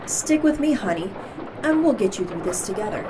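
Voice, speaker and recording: An actress playing a sassy, kindly wife with a breezy, familiar manner in a horror audio drama. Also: female voice, medium pitch, big pitch swings, fairly quick, lightly acted. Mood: warm, supportive. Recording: noisy ambience behind.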